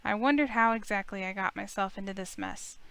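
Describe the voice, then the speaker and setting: A woman's voice: medium pitch, fairly quick, vocal fry, fairly bright tone, clean tone. A woman playing a wry, self-conscious young heroine, narrating in the first person and speaking dialogue in a visual novel game.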